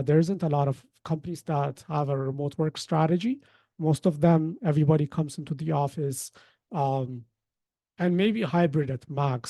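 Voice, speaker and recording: Man, thirties, lightly raised pitch, mellow tone, informal webinar host, conversational and explanatory. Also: no echo. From Ethiopia. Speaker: webinar host, company founder.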